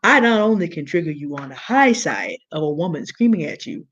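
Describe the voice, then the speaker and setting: A woman with a big, low contralto voice, talking through a point deliberately and earnestly as a panelist in an online discussion. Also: very animated, highs cut off. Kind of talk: measured explanatory talk.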